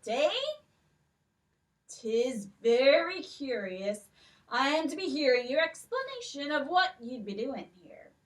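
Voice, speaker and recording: A woman's voice: high-pitched, fairly bright tone, distant mic, heavily acted. A woman playing a curious, scheming old king in a children's puppet show, speaking stately and questioning.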